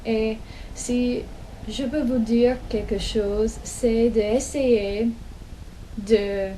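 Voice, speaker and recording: American woman, young adult, non-native, slow hesitant delivery, mellow tone, faint background hiss. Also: a little high, poor audio. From United States. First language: English. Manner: slow, hesitant, many pauses and restarts. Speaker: language vlogger. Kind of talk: casual personal storytelling in learned French.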